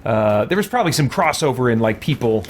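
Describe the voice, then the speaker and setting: A man's voice: medium pitch, big pitch swings, quick pace. Man rambling casually over game noise. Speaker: streamer and host of a game let's-play.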